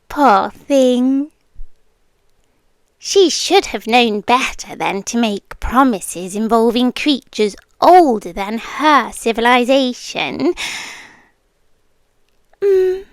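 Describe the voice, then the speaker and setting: A woman's voice: high voice, dry recording. A British woman acting out a possessive, ancient supernatural girlfriend in an ASMR roleplay, teasing and smug, with intimate, menacing delivery that often drops toward a whisper. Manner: slow, intimate, taunting, often dropping to a whisper.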